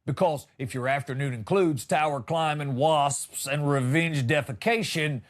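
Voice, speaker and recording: A man's voice: fairly high pitch, a little slow. A middle-aged man hosting a comedy show, telling a story in a wry, exaggerated way with emphatic punchlines. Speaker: comedian and podcast host. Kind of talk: comic storytelling monologue.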